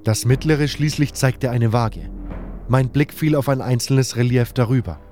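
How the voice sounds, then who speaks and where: A man's voice: medium pitch, unhurried, dark tone. A man narrating a fantasy audio drama in the first person, as scripted storytelling. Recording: hall-like reverb, music underneath.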